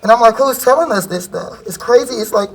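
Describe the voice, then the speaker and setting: A woman's voice: fairly high pitch, fairly quick, rough recording. A young woman speaking frankly and casually in a panel discussion, using slang.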